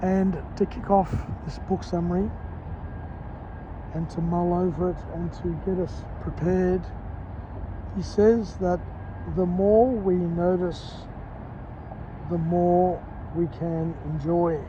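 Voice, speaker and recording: A croaky-voiced man walks outdoors at dawn, filming a vlog as he goes. He speaks in short, slow phrases. The muffled recording picks up clear traffic noise around him. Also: high-pitched, level tone. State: hoarse, croaky voice. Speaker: vlogger. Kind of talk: walk-and-talk book summary vlog. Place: outdoors on a city street at dawn.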